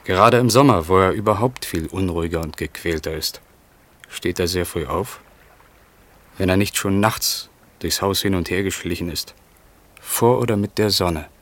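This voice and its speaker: An actor in a German radio play playing a sober, detached observer who reports in measured, clipped phrases. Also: male voice, low-pitched, fairly bright tone. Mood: matter-of-fact.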